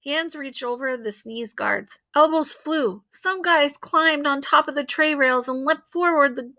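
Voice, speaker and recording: Bright, high-voiced woman reading aloud. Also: measured pace. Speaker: read-aloud reader. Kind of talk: expressive children's book read-aloud.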